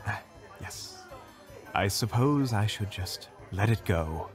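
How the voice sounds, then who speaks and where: A man's voice: lowish voice, lively intonation, slow pace. A man playing a reserved, serious traveling swordsman with a formal, restrained manner. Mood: guarded. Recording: music bed under the voice.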